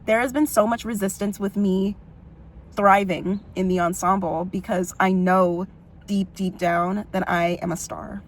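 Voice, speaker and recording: Expressive woman with a big voice. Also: medium pitch, measured pace. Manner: expressive and emotional, frequent "like" fillers and laughs. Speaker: vlogger and musical theatre performer. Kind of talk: personal vlog storytelling.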